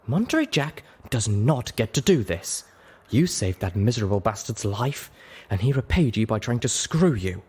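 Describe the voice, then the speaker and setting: A man voicing an adult female unicorn, refined, caring and forceful, in a storybook reading. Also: fairly low pitch, very animated, moderate pace, slightly rough. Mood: angry. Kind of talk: audiobook character voice.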